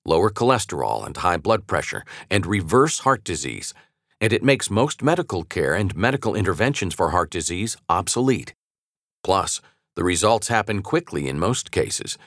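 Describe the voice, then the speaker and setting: A man with a low voice narrates nonfiction in an assured, articulate manner. His intonation moves with plenty of variety while the delivery stays measured. The recording is very dry, with a close studio sound. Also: fairly bright tone, dry recording. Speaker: audiobook narrator. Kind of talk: scripted nonfiction audiobook narration.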